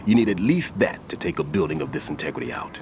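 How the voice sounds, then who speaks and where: A man playing a methodical, somber veteran bomb disposal expert in a video game cutscene, explaining precisely and gravely. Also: rumbling low, lively intonation, fast, background noise, exaggerated voice. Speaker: demolition expert giving instructions.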